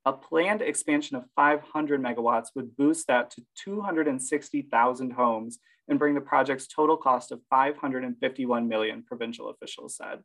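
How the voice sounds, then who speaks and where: A man's voice: mid-range voice, moderate pace, few pauses, fairly bright tone, rough recording. A man co-hosting a casual podcast, laughing often and reading quotes from his sources. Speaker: podcast co-host.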